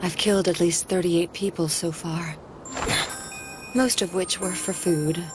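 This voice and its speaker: An actress in an anime dub playing a calm, coolly detached female parasite in human form, speaking matter-of-factly over music. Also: on the low side, unhurried, close to an everyday voice. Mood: cool, confessional.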